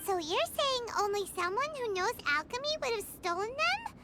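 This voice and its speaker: A woman voicing a tiny, excitable fairy companion girl who talks about herself in the third person, eager and pushy, in a video game cutscene. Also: very high voice, normal speed, noisy background. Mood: anxious. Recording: game cutscene over loud background music.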